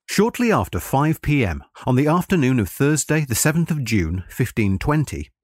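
Man, formal history narration, measured delivery, animated pitch movement, dry close recording. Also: slightly rough, no room sound. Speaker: audiobook narrator.

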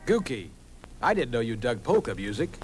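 An actor playing a wry private eye, narrating a hard-boiled detective parody with deadpan setups on an old comedy record. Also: male voice, medium pitch, normal speed, lightly acted. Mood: wry, matter-of-fact. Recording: old comedy record, loud brassy music.

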